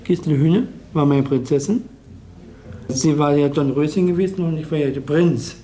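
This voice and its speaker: A man recalling personal memories as a theatre actor, speaking haltingly in simple, repeated phrases. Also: a little high, dark tone, a little hiss or hum. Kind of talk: personal recollection.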